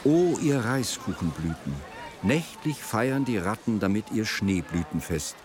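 A man reading literary narration for a radio play in a measured, formal way. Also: on the low side, big pitch swings, heavy background noise.

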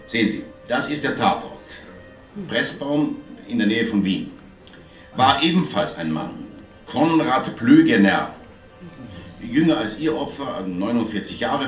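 A man's voice: mid-range voice, natural voice. Man playing a courteous inspector, echoey and distant. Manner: polite, formal, measured. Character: courteous, talkative male Viennese police inspector on video link. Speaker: actor in a radio crime drama. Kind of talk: German radio play acting. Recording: roomy, distant video link sound, music.

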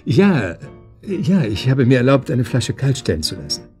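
Actor as nervous, courteous suitor, lively pitch. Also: male voice, moderate pace, faint music behind, close to an everyday voice. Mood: charming, flirtatious. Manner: hesitant, stammering, formally polite. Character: nervous, courteous, flirtatious man on a blind date. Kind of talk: German radio drama acting.

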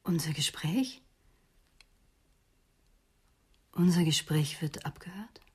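An actress playing a cornered, bitter psychoanalyst who has killed, in a German radio crime drama, with an intense, defiant manner. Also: female voice, low-pitched, moderate pace, darkish tone, breathy edge. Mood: stunned.

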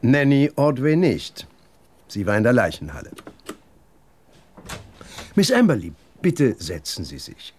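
An actor playing a dry police inspector in a radio drama, formally questioning with sardonic jabs. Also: male voice, medium pitch, huge pitch swings, unhurried, long pauses, a little mellow, slight background noise. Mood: brisk, questioning.

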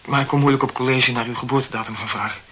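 An actor plays a young male medical student in a radio play, earnest and socially awkward. His mid-pitched voice is bright and keen, and he explains himself at length with formal politeness. The recording carries faint noise. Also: fairly even intonation, rapid-fire, close to an everyday voice, poor audio. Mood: awkward, confessional.

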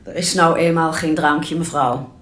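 A woman's voice: deep voice, steady tone, unhurried, a bit bright, slight background noise. A woman playing a formal, evasive, detached hospital doctor in a radio drama scene. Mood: awkward, detached. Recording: echoey room.

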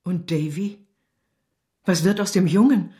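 A woman's voice: fairly low pitch, unhurried, dark tone, lightly acted. A woman playing a loyal, caring household maid in a radio play, respectful but persistent. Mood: concerned.

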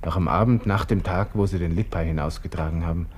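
A male actor plays a young Austrian lieutenant in an old radio drama, brooding and cynical as he thinks aloud. His Viennese voice is low and mellow, musing with dry irony. A faint hiss of an old recording sits behind him. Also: quick pace, close to an everyday voice. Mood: wistful, bitter. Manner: musing to himself, murmured, wry. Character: melancholy, cynical young Austrian army lieutenant facing death. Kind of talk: 1960s radio play interior monologue.

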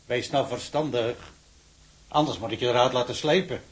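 A man's voice: mid-range voice, moderate pace, husky, lightly acted. An actor playing a patient, fatherly prison warder in a radio drama, with a folksy, soothing manner. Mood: coaxing.

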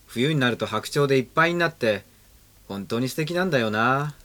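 A man's voice: medium pitch, lively intonation, fairly quick, natural voice. A man playing a casual, nostalgic young man in an amateur radio drama. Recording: amateur radio drama under loud music.

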